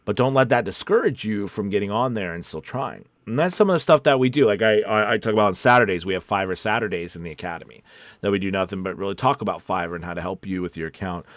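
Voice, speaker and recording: Low-voiced man chatting casually on a livestream. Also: dry recording. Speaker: voice-over coach hosting a livestream. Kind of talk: casual livestream chat, reading viewer comments.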